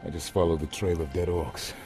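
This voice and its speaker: An actor playing a loyal, determined soldier in a video game, earnest and sober. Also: male voice, deep voice, fairly even intonation, brisk, soft background music, close to an everyday voice. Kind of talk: video game drama acting.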